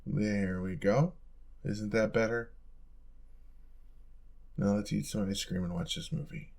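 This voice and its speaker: A man's voice: on the low side, fairly even intonation, slow pace, vocal fry, a little mellow, full character voice. A young man playing a caring, teasing college roommate in an intimate, casual audio roleplay. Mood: gentle, caring.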